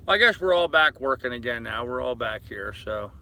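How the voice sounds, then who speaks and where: A man's voice: medium pitch, lively intonation, brisk, gravelly, pushed and bright, a little hiss or hum. An older man hosting a casual live chat from a boat, rambling as he reads and answers comments and addresses viewers familiarly. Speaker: live-stream host. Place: aboard a boat at anchor.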